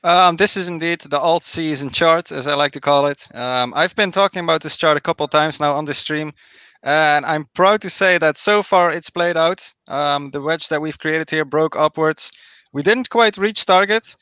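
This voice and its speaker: A man with a Dutch accent, a guest trader calmly explaining market charts on a live stream. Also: fairly high pitch, clean tone, no room sound. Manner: measured, explanatory, confident.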